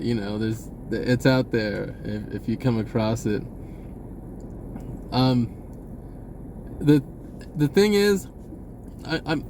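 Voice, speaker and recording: A man's voice: mid-range voice, a little mellow, a little hiss or hum. A man talking in a casual, rambling, informal monologue with folksy wording, often correcting himself as a spiritual vlogger.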